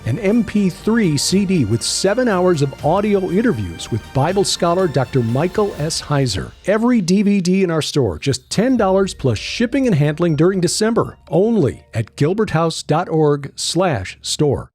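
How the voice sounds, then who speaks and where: A man's voice: high voice, very animated, normal speed, hardly any pauses, a little mellow, husky, no room sound. A man reading a scripted store promotion with an upbeat, emphatic sales delivery. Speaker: ad announcer. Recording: faint music behind. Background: music bed.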